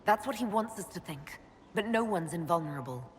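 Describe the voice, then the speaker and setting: An actress playing a composed, resolute elf mage who leads with calm, measured authority in a video game. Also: female voice, lowish voice, slight background noise, lightly acted. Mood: calm, determined. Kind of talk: video game dramatic acting.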